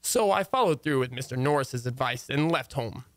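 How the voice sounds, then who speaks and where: A man's voice: medium pitch, lively intonation, moderate pace, loud music behind the voice, lightly acted. A man playing an exasperated, sardonic husband who narrates his own marital troubles in an old-time radio comedy. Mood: wry narrating.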